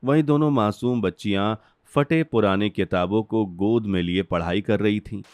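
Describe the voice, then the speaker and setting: A man's voice: lowish voice, normal speed, a little mellow, clean tone, no echo. A man narrating a scripted cartoon family story.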